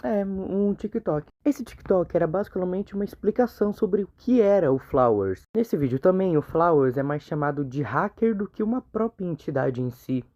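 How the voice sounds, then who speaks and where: A man's voice: high-pitched, expressive, moderate pace, hardly any pauses, warm tone. A man with a Brazilian accent narrating a horror game explainer video in an informal, conversational way. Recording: muffled, narrow phone-like sound.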